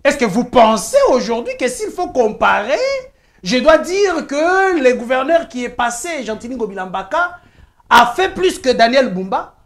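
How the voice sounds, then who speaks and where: Congolese woman journalist, high lively voice, insistent debater. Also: normal speed. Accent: Congolese French. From Kinshasa, DR Congo. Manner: insistent, argues point by point, mixes in Lingala phrases. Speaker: journalist guest. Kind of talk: heated talk-show debate.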